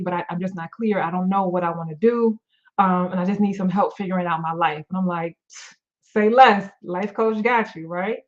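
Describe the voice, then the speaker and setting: A woman's voice: medium pitch, lo-fi sound. A middle-aged woman life coach on a live stream, tired and losing her voice, talking candidly with many fillers and restarts. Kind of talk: live-stream motivational talk.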